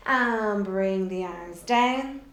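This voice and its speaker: A woman's voice: mid-range voice, very slow. A middle-aged woman presenting a children's theatre workshop, explaining simply and guiding with encouragement. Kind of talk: children's instructional video, explaining and leading warm-up exercises. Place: indoors in a bare room at home. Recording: echoey room.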